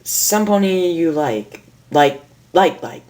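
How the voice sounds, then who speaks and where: A woman's voice: low voice, expressive, a little mellow, pitched above the performer's own voice. Woman doing a brash, awkward tomboy pony. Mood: nervous. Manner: halting, blunt, slangy.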